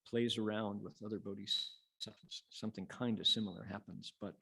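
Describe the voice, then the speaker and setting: A man reciting and teaching Buddhist scripture as a monk, in a measured, dramatic storytelling manner with pauses. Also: on the low side, fairly even intonation, creaky, pushed and bright, a little hiss or hum. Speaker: Buddhist monk, sutra lecturer. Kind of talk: sutra recitation and dharma lecture.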